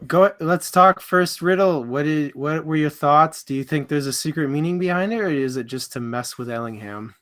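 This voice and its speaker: A man's voice: on the high side, normal speed, hardly any pauses, a bit bright. A man co-hosting a casual book discussion, speaking informally and steering the conversation. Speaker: book club co-host leading topics.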